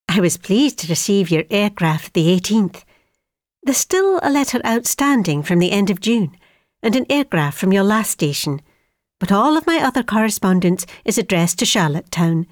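A woman's voice: medium pitch, animated, normal speed, no room sound, clean studio sound, lightly acted. A middle-aged woman playing an affectionate wartime mother, chattily reading out a letter to her son. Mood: affectionate, chatty. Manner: homely, chatty.

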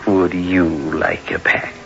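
An actor playing a gleefully macabre horror radio host, drawn-out and darkly teasing in his delivery. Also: low voice, flat delivery, creaky, heavily acted. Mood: sinister, teasing. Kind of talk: 1960s horror radio hosting. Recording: muffled old radio recording, loud music.

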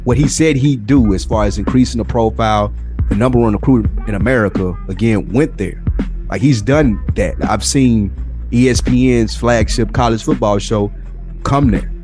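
A man with a low voice co-hosts a casual, opinionated podcast. He talks informally and stresses points by repeating them. Music plays clearly behind him. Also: moderate pace, a little mellow. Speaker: podcast co-host. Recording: music bed under the voice.

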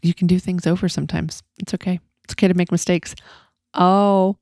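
A woman from Massachusetts hosts a podcast in a loose, rambling way, laughing often and swearing casually. Her voice sits a little low. The sound is dry and close, with no room echo. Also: flat delivery, fairly quick, darkish tone. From Massachusetts, USA. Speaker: podcast host.

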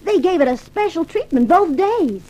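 A woman's voice: extremely high pitch, expressive, normal speed, dark tone. A woman playing a devoted young bodyguard in a 1950s radio crime drama, soothing and matter-of-fact. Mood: earnest, admiring.

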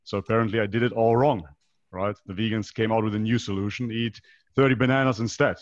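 A man around thirty with a foreign European accent and a fairly low voice. He speaks as an interview guest, explaining things from his own life in a conversational way. The sound is dry and somewhat dull, like an online call. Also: steady tone, quick pace, no echo. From North Macedonia, raised in Germany. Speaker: podcast guest. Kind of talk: conversational interview, personal account.

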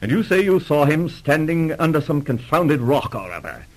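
A grumpy old English lord in a vintage radio play. He is pompous and indignant, spluttering his objections in a husky voice that leaps up and down. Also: fairly high pitch, very animated, moderate pace. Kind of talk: 1940s radio drama acting.

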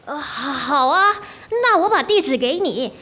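A woman's voice: high voice, lively intonation, sharp tone, full character voice. A woman playing a hesitant old blind mother. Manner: hesitant, with halting fillers.

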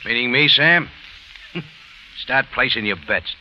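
An actor playing a tough, wry American hero who narrates and talks slang in an old-time radio detective drama. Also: male voice, mid-range voice, expressive, slow. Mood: wry, probing. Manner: hard-boiled, wry, slangy. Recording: lo-fi old radio recording with music.

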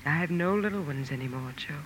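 An actress playing a gentle, wistful middle-aged mother, soft and tender, in a 1950s radio drama. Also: sits low, fast talker, close to an everyday voice. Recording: noisy old radio broadcast.

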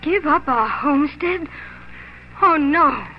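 An actress playing a proud, steadfast young pioneer wife in a 1940s radio drama, earnest and heartfelt. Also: female voice, sits high, animated, takes it slowly, a little hiss or hum, heavily acted. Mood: indignant. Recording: dull 1940s radio recording.

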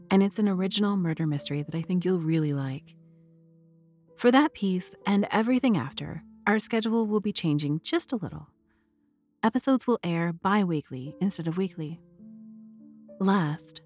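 A woman's voice: fairly low pitch, darkish tone. A woman speaking as a podcast host and storyteller, delivering scripted narration. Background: music.

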